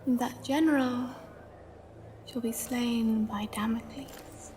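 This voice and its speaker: Slow, high-voiced ominous oracle. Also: female voice, fairly even intonation, a little hiss or hum. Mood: foreboding. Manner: slow, ominous, portentous delivery. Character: mysterious captive female oracle chanting bloody prophecies. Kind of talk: dramatic video game acting. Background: loud dramatic music.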